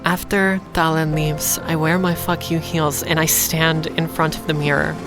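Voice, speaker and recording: A woman playing a wry, defiant working-class heroine, telling her own story deliberately in an audio drama. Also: low-pitched, level tone, over music. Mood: reflective, defiant. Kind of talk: first-person audio drama narration.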